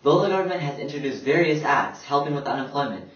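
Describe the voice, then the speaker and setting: A man's voice: fairly high pitch, expressive, quick pace, natural voice. Serious male radio newsman, acted by a man, 1930s radio play, muffled, roomy. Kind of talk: scripted news broadcast acting. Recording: echoey, dull, distant amateur recording.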